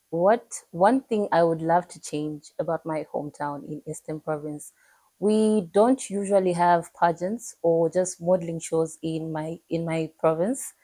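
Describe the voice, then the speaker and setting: Young Zambian woman, pageant hopeful, low mellow voice, earnest and measured. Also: dry recording, poor audio. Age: young adult. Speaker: pageant contestant. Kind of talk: prepared self-introduction to camera.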